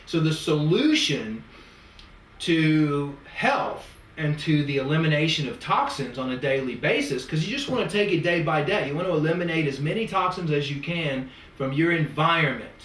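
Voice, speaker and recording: A man's voice: fairly high pitch, lively intonation, normal speed, fairly bright tone. A man working as a natural health practitioner, explaining his approach to a client in a lecturing way, building long lists and analogies. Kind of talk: consultation with a client. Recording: echoey room, mic at a distance.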